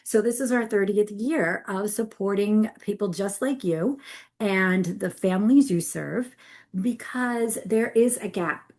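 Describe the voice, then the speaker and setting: A woman's voice: medium pitch, darkish tone. A woman presenting on a video call webinar, talking in a chatty, upbeat way and correcting herself as she goes.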